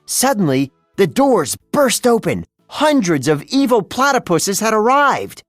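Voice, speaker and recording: A narrator reading a children's read-along storybook in an expressive storytelling manner. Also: medium pitch, measured pace, dry recording, studio quality, soft background music.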